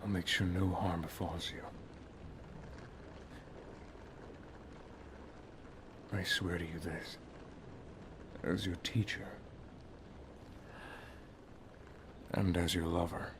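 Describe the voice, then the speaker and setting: Man playing a deep, hushed, solemn wizard master. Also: flat delivery, background noise, natural voice. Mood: tender, protective. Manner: soft, half-whispered, grave, deliberate. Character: solemn, protective male wizard master and lover. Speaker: ASMR roleplay performer. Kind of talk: ASMR roleplay, dramatic monologue.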